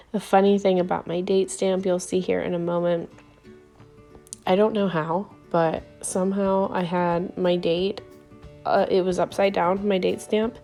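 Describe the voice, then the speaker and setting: A woman hosting a scrapbooking video, talking casually over her crafting with a hoarse voice that is giving out from illness and lots of ums and little laughs. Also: fairly low pitch, darkish tone. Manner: rambling, chatty, frequent ums and little laughs.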